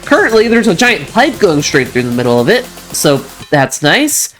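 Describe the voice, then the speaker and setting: A stuffy-sounding host talks through gameplay in a loose, chatty way, often doubling back and restarting sentences. The voice sits at an ordinary middle pitch, and soft music plays underneath. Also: big pitch swings, normal speed. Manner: chatty, rambling, self-correcting with frequent restarts. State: stuffy from mild allergies. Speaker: channel host. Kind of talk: casual gaming commentary over gameplay.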